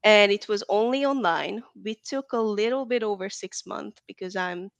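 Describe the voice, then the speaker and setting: A woman's voice: mid-range voice, moderate pace, gravelly, no room sound. A woman from Hungary, chatting casually as an interview guest, full of likes and ums. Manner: chatty, frequent "like" and "um".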